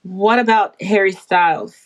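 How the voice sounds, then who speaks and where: A woman's voice: mid-range voice, measured pace, rough recording. A brash, playful woman from the Bahamas chatting casually and swearing freely on a livestream. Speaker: livestream host.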